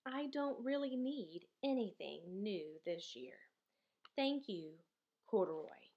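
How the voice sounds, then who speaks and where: A woman's voice: mid-range voice, animated, slow pace, dull audio. A woman voicing a thoughtful, kindly young teddy bear from a storybook, speaking carefully and earnestly.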